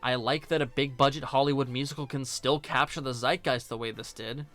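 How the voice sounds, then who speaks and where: A man's voice: medium pitch, fairly even intonation, fairly quick, a bit bright, soft background music. A man giving scripted video-essay commentary in a sarcastic, informal, joking manner. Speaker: video reviewer and host.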